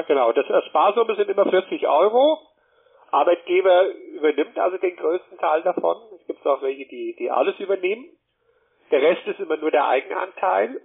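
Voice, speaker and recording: A man giving a wordy telephone sales pitch, often adding a short checking question. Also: vocal fry, husky. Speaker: telemarketer. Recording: muffled narrowband phone line.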